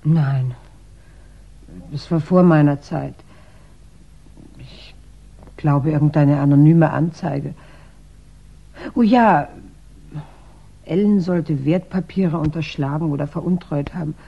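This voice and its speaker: A woman's voice: low-pitched, slow, vocal fry, long pauses, slightly rough, exaggerated voice. An actress playing a devoted, anxious younger woman in a 1960s German radio crime play, earnest and hesitant. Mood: guarded. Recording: dull 1960s radio recording.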